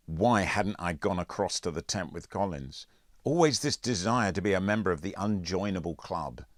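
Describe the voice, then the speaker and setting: A man's voice: deep voice, no echo, studio quality. A man reading a scripted first-person story as an audiobook narrator. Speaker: author reading own audiobook.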